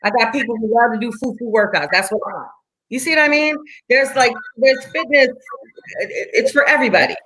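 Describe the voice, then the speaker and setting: A woman's voice: a little high, brisk, fairly bright tone, slight background noise, poor audio. A woman in her forties, a fitness instructor, chatting enthusiastically and repeating herself for emphasis. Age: 40s. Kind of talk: casual chat.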